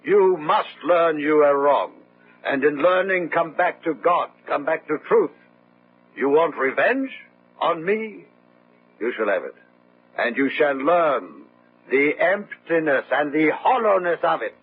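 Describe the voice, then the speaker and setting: An actor playing a grieving, righteous widower in a radio drama, solemn and oratorical like a preacher. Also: male voice, a little high, lively intonation, measured pace, husky, faint music behind, exaggerated voice. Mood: impassioned, solemn. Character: grieving, righteous widower passionately rebuking his master. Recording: narrow-band old radio recording.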